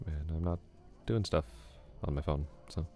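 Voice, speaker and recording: A man playing a laid-back flirty classmate, very deep. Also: normal speed, darkish tone, faint music behind. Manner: casual, easygoing, chuckles often. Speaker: ASMR roleplay voice actor. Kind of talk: ASMR roleplay, soft close talk.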